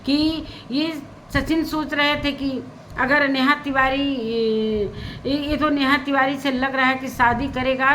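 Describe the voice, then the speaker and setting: A woman's voice: high-pitched, fairly bright tone, a little hiss or hum, low-quality recording. A woman vlogger giving casual, opinionated commentary, repeating her points for emphasis. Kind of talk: casual spoken commentary on social media gossip.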